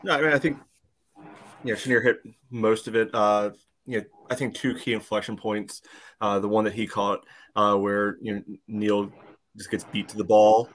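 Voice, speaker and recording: A man breaking down a soccer match casually as a podcast panelist, in a halting way peppered with "you know". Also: fairly low pitch, steady tone.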